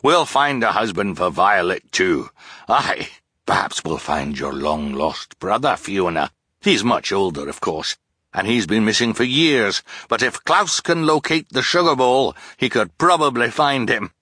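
A man voicing a blustering, excitable ship captain who shouts and tacks "aye" onto everything. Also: on the low side, measured pace, slightly rough, no room sound, studio quality, full character voice. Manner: loud, exclaiming, tacks on "aye" constantly.

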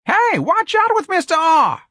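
A man's voice: high-pitched, sing-song, a little fast, bright, forceful tone, slightly rough, no room sound, clean studio sound, pitched above the performer's own voice, exaggerated voice. A man voicing an eager working-class factory laborer in an audiobook, breathless and colloquial. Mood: urgent. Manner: colloquial, dropped verbs, breathless.

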